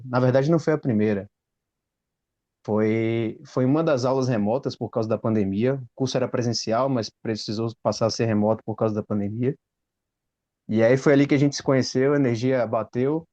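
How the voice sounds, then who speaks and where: A man's voice: medium pitch, a little mellow, muffled recording. A man, telling his story casually with frequent tag questions. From Salvador, Brazil. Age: 30s. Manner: rambling, frequent "né?" tags. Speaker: guest.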